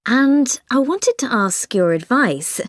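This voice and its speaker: A woman's voice: on the high side, lively intonation, takes it slowly, no echo, studio quality. A woman acting a chatty, inquisitive, friendly mum asking for advice in a scripted exam dialogue. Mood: curious, interested.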